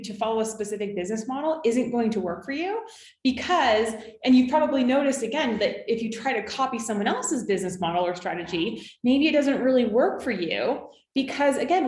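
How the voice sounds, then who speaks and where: A woman's voice: a little high, a little mellow, roomy sound. A woman in her thirties from California, a business coach talking to her viewers in an encouraging way, often checking in with "okay?" and "right?". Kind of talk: coaching talk to camera.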